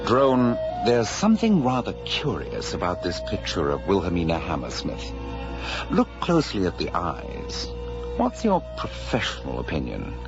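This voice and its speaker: A shrewd, grandiose detective reasons aloud in a very deep, animated voice. It is a slightly dull radio drama recording with music behind. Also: male voice, exaggerated voice. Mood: intrigued, probing. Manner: deliberate, deductive, grandiose. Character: shrewd, theatrical male detective lecturing his colleague.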